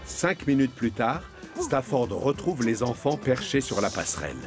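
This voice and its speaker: A man's voice: mid-range voice, sing-song, moderate pace, loud music behind the voice. A man narrating a children's cartoon as a scripted storyteller.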